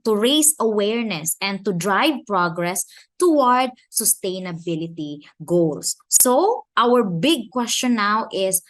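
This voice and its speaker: Woman, Filipino accent, conference speaker, measured delivery, mid-pitched voice, slows down on key points. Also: a bit bright. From Philippines. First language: Filipino language. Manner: measured, slows down to stress key phrases and questions. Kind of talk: academic conference talk.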